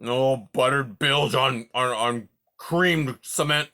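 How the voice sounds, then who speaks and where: A man's voice: mid-range voice, slow pace, sharp tone. A man voicing a grumpy old male porcupine who huffs, sputters and swears in silly made-up oaths. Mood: muttering.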